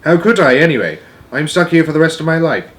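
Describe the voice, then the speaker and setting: A man's voice: on the high side, expressive, fast talker, fairly bright tone, voice pushed up. A man voicing a small, plucky storybook girl who is inquisitive, persistent and properly spoken. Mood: insistent.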